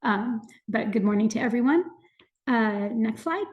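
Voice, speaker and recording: A woman's voice: a little high, animated, measured pace, lo-fi sound. A woman clinician with a cold presenting mental health services on a video call, hesitant and full of fillers. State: has a cold.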